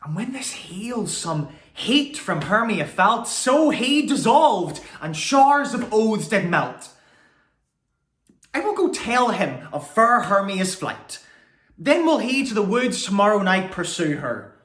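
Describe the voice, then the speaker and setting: Man as rejected young lover, Shakespearean verse, aching and bitter, drawn-out phrasing, raised pitch. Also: big pitch swings, a bit bright, reverberant, full character voice. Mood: bitter, rising anguish. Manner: deliberate, drawn-out delivery with weighted pauses.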